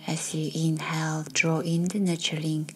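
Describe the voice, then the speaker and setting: A woman guiding a meditation in a soft, soothing, breathy voice that often nears a whisper, leaving long pauses. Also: sits low, level tone, rough recording. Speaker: meditation guide. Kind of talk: guided meditation narration. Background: faint music.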